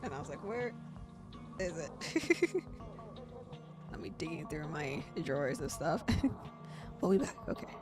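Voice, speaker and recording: A woman's voice: deep voice, animated, normal speed, long pauses, music in the background. Giggly woman streamer reacting to a game. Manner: giggly, bursts into loud surprised exclamations. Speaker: streamer and voice actor. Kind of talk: casual game stream commentary with reading aloud.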